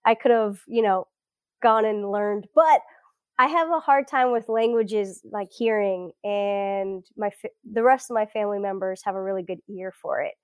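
Smooth-voiced American woman, chatty and reflective. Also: mid-range voice, normal speed, no room sound, clean studio sound. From South Florida, US. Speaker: podcast guest, academic. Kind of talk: casual interview conversation. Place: at home indoors.

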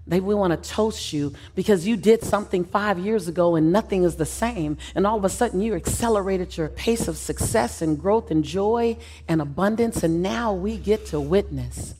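A woman leading a guided visualization, soft and slow in the calm passages and rising loud and emphatic when she voices the crowd. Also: mid-range voice, a little hiss or hum.

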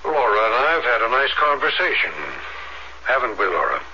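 A man playing a calm, probing psychiatrist in an old-fashioned radio drama, measured, professional and authoritative. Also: mid-range voice. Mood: assured. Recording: dull, noisy old radio recording.

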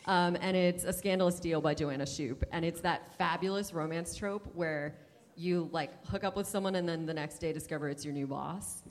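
Lower-voiced woman, long hall reverb. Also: moderate pace. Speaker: podcast co-host moderating a panel. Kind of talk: casual live panel chat. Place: large room with a live audience, indoors.

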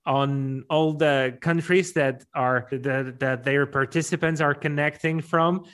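A man's voice: fairly high pitch, fairly even intonation, slow pace, fairly bright tone. A man with a Polish accent answering interview questions conversationally, often repeating words as he works out his explanation. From Poland. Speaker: event MC, interview guest.

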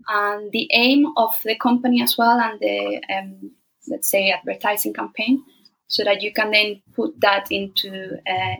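A woman, a translation company director, answering interview questions in a measured, explanatory way with frequent ums and uhs. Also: medium pitch, gravelly, bright, forceful tone, poor audio.

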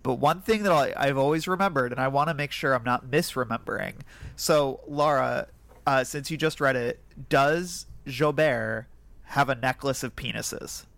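A man's voice: medium pitch, moderate pace, vocal fry, a bit bright. A man co-hosting a podcast, casually discussing books and films. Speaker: podcast co-host.

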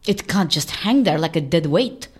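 Daring escape artist, lively. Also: female voice, fairly low pitch, moderate pace, breathy edge. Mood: insistent. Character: cool, daring female Russian escape artist. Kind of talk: audio drama acting.

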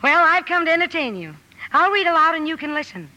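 A woman playing an exuberant, blunt, warm-hearted teenage tomboy in old radio drama style, brisk and full of exclamations. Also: extremely high pitch, sing-song, in a large hall, full character voice, low-quality recording. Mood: exuberant, friendly. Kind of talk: 1940s radio drama acting. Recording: hissy old 1950 radio broadcast.

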